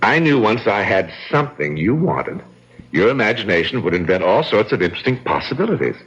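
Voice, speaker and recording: An actor playing a charming, cold, calculating villain in a vintage mystery radio drama, smug and quietly menacing. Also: male voice, lowish voice, big pitch swings, slightly rough, exaggerated voice. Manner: smug, suave, measured menace. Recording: lo-fi, noisy old radio recording.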